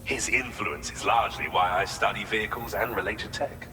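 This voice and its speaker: A man's voice: on the low side, normal speed, long reverb tail, crowd noise, lightly acted. An actor playing a courteous, eager male researcher in a video game, speaking in formal, articulate phrases. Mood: measured, explanatory. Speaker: researcher character. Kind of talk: video game cutscene dialogue.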